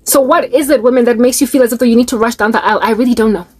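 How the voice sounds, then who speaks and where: A woman's voice: a little high, racing. A South African woman in her late thirties, preaching to her viewers in an exhorting, rhetorical monologue. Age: 30s.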